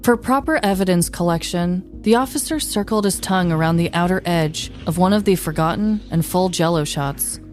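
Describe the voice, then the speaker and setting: A woman's voice: fairly low pitch, normal speed, few pauses, a little mellow, faint music behind. A young woman co-hosting a comedy podcast, talking in a playful, exaggerating way. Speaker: podcast co-host. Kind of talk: casual comedic banter and dramatized true-crime storytelling.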